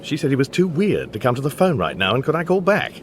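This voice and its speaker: A man's voice: medium pitch, very animated, fast, slight background noise, lightly acted. An actor playing a mild, baffled, apologetic Englishman with an English accent in a radio comedy drama, speaking hesitantly with dry understatement. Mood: bemused, rueful. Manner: hesitant, bemused, dryly understated.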